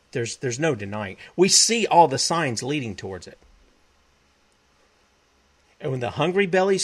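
Preachy man. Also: a little high. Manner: emphatic, repeats himself, quotes scripture. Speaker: talk show host. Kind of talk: preachy broadcast monologue.

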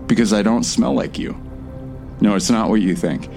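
Casting: actor for anxious male outsider, audio drama. Very deep voice, stammering, placating, music underneath. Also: moderate pace, vocal fry, a little mellow. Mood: nervous, placating. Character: nervous, harmless stranger man from another world. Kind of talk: post-apocalyptic audio drama acting.

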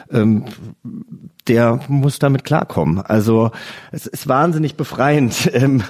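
A man's voice: medium pitch, a little mellow. Reflective man hosting a podcast conversation. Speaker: podcast host.

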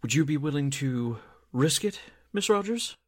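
A man playing a fussy, haughty theatrical producer with a husky voice in a 1940s-style radio drama. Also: fairly high pitch, lively intonation, normal speed.

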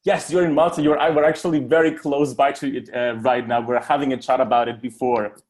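A man's voice: medium pitch, level tone, fairly quick, a little mellow. A man hosting a podcast, speaking articulately and addressing listeners directly as he introduces and runs an interview.

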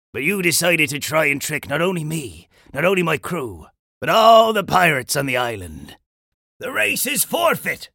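A man voicing a stern, honourable old pirate captain in a children's story, gravelly and declamatory. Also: on the high side, pushed and bright, no room sound, clean studio sound, exaggerated voice. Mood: indignant, then bellowing. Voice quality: gravelly, creaky. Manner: emphatic, declamatory, moralising.

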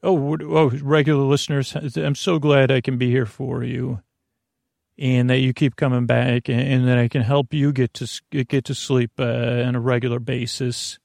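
A man hosting a sleep podcast in a creaky voice, meandering and halting through mumbled word fragments and tangents to lull listeners to sleep. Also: mid-range voice, flat delivery, a little mellow, no room sound, clean studio sound. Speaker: podcast host. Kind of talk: rambling bedtime monologue meant to lull listeners to sleep.

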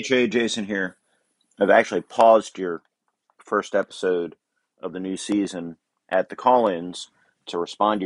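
A man's voice: low voice, dry recording. A man calling in to a podcast with a casual, unscripted message.

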